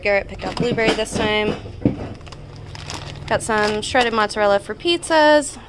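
A woman in her thirties speaks in a fairly high voice. She chats informally, listing items as a vlog host. There is some household noise behind her. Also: a bit bright. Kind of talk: casual chatty grocery haul narration. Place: indoors in a home kitchen.

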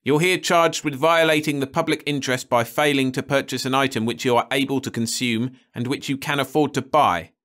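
A man's voice: mid-range voice, fairly even intonation, brisk, few pauses, a bit bright, voice pushed up, natural voice. A man voicing a stern, pompous older judge who lectures in a clipped, officious way. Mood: impatient.

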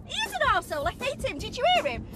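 Woman actor in a car, keeps cracking up, super high bouncy voice, music behind. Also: huge pitch swings, rapid-fire. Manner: giggly, fumbling lines. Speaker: actress in a sitcom outtake. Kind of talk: comedy acting with slips. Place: inside a car.

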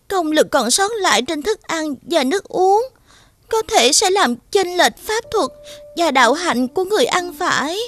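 A woman voicing a young servant fairy girl in an animated fairy tale, earnest and pleading. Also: extremely high pitch, dry recording.

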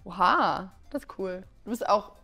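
A woman in her twenties hosts a dating show in a studio with music faintly behind her. She asks her questions casually, at a middling pitch. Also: expressive, normal speed, bright, forceful tone. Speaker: host of a blind dating show. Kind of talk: casual interviewing.

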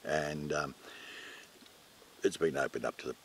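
Older Aussie male, low-pitched, plain-spoken and halting, relaxed interviewee chat in the open air. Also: slight background noise. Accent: Australian. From New South Wales, Australia. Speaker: retreat owner being interviewed. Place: outdoors at a garden table in bushland.

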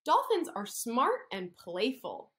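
A woman in her thirties, an occupational therapist, reads a picture story aloud to young children. She talks in a fairly high, very lively sing-song voice, coaxing the kids to copy her hand gestures. She is recorded indoors on a laptop webcam. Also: slow. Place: indoor room.

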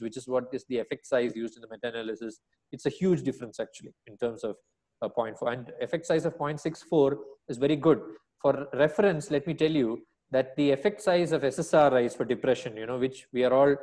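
Middle-aged man, Indian accent, mid-range pitch, didactic lecturer, measured explanations, webcam recording. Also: darkish tone. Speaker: medical lecturer.

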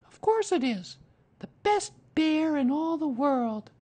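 An adult doing an eager, high-voiced young boy. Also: lively intonation, unhurried, darkish tone. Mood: excited. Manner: earnest, emphatic announcing. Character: eager, earnest young boy hosting a party.

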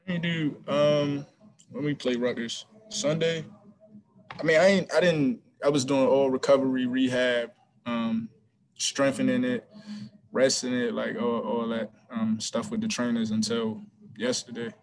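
A young man, a college basketball player, answering press questions after a game over a video call, relaxed and hesitant with many ums and restarts. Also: medium pitch, measured pace. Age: young adult. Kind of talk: postgame press conference answers. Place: small room before a team backdrop. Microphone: video call webcam.

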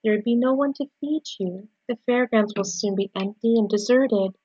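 A woman voicing a calm, dying female spider in a storybook, low, weak and gently firm. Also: moderate pace, breathy edge, no room sound, lo-fi sound.

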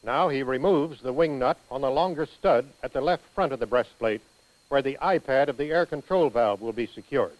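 An American man reading scripted instructional narration for a training film in a precise, measured manner. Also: medium pitch, lo-fi sound.

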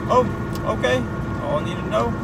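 Texan trucker over loud engine noise. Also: male voice, high voice, moderate pace, creaky. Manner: relaxed, rambling retelling with many fillers. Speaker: owner-operator truck driver vlogger. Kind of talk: casual storytelling vlog. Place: cab of a moving semi truck. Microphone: camera mounted on the dashboard. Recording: distant camera mic with loud engine and road noise.

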